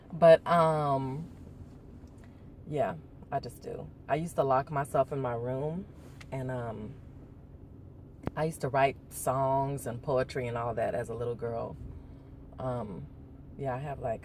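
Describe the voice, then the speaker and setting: A woman chatting casually on a morning vlog, rambling and laughing as she reflects, her voice deeper before coffee. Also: slight background noise. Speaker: vlogger. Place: indoors, talking to her phone. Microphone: phone.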